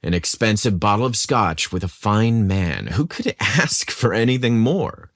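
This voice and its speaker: An enigmatic, charismatic male diner owner , acted out by a male narrator in a romantic audio story. He speaks low, in a smooth, flirtatious murmur. The sound is close and dry. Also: very animated, a little slow, no echo, full character voice. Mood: flirty, amused.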